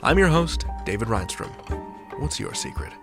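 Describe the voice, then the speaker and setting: A man's voice: lowish voice, huge pitch swings, moderate pace, gravelly, music in the background. A man hosting a podcast, reading scripted narration. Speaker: podcast host.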